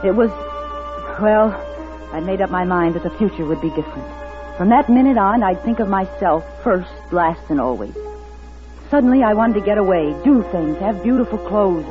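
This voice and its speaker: A woman's voice: on the low side, lightly acted. An actress playing a jilted, embittered young secretary turned thief in 1940s radio drama style, acting and narrating her own story. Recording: tinny vintage radio recording, music behind.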